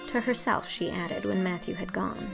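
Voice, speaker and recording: A woman narrating a classic novel with an even, storytelling delivery. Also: medium pitch, normal speed, vocal fry, music in the background. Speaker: audiobook narrator. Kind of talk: scripted narration.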